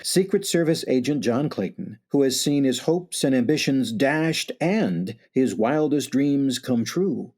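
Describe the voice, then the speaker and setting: A man's voice: medium pitch, darkish tone. A man announcing a suspense radio drama in a scripted, theatrical manner.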